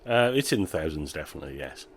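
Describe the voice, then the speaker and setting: A man who owns a small brewery, chatting in an interview with a relaxed, self-deprecating manner and frequent laughter. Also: very deep voice, quick pace, vocal fry. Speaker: brewery owner. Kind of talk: casual interview chat.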